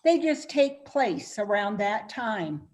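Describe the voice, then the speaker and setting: A woman reading the part of a helpful, informative friend in an amateur radio play, explaining things deliberately. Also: mid-range voice, sing-song, darkish tone. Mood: explanatory.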